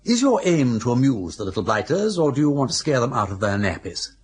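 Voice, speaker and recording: An actor playing a jaunty, meddling older English gentleman with husky, breezy upper-class banter in a radio drama. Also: mid-range voice, sing-song, fairly quick. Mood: inquisitive, amused.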